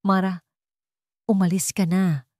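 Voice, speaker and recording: A woman's voice: medium pitch, level tone, takes it slowly, darkish tone, dry recording, studio quality, close to an everyday voice. A woman reading a remorseful father. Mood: firm. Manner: pleading, broken, heartfelt. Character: remorseful estranged father, grown man.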